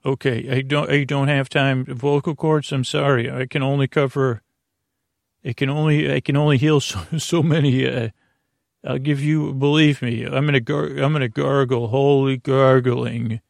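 A man hosting a bedtime podcast in a creaky, lulling voice, meandering and halting as he repeats himself and drifts into tangents. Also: medium pitch, little pitch movement, no echo, studio quality. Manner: soothing, lulling. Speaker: podcast host. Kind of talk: rambling bedtime sleep podcast monologue.

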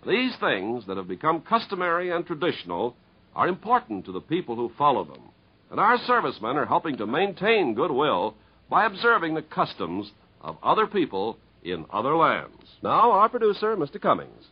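A man's voice: on the high side, huge pitch swings, normal speed, slightly rough, dull audio. A man working as a radio announcer, reading scripted narration in 1950s broadcast style.

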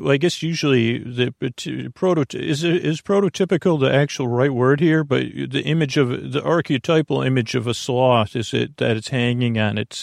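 A man with a creaky voice hosting a sleep podcast in a lulling, meandering, hesitant monologue full of halting tangents. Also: mid-range voice, dry recording, studio quality. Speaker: podcast host. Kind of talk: rambling, soothing bedtime podcast monologue.

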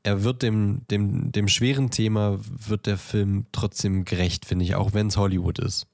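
Man, lower voice, dry close sound, casual film podcast co-host, hesitant phrasing. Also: flat delivery, a little slow, gravelly, a little mellow, no echo. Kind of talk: casual chat about films.

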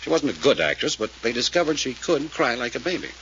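An actor playing a commanding detective who explains a case emphatically, in the style of a 1940s radio serial. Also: male voice, mid-range voice, sing-song, quick pace, a bit bright. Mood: intent, explaining. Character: brisk, commanding male detective explaining a murder. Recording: muffled, hissy old radio recording.

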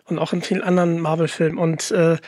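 Podcast host, casual interview chat, mid-pitched voice, very dry close sound. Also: little pitch movement, fast talker, darkish tone, no room sound.

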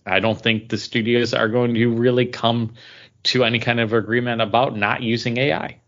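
A man with a mid-pitched voice talks like a podcast co-host on slightly muffled, lo-fi audio. His delivery is conversational and halting, and he slips into quoting imagined exchanges as he explains things. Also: flat delivery, fairly bright tone. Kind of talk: casual news chat.

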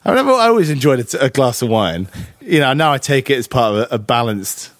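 A man co-hosting a casual podcast from his flat, dry and self-deprecating. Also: mid-range voice, fast, no echo. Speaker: podcast co-host.

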